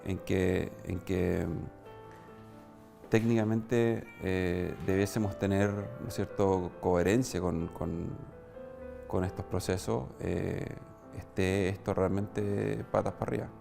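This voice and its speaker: Deep, creaky, halting young Chilean man. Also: steady tone, dark tone, soft background music. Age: young adult. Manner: halting, searching for words, repeats himself. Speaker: interview guest, athlete. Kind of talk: spontaneous opinion.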